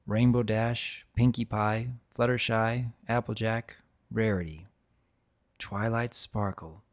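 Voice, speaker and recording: A man voicing an aged, gentle dragon in a dramatic reading, reflective and sentimental as he tells his own story. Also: fairly low pitch, fairly even intonation, slow. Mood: fond reminiscence. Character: aged dragon, gentle, nostalgic, fondly guarding keepsakes.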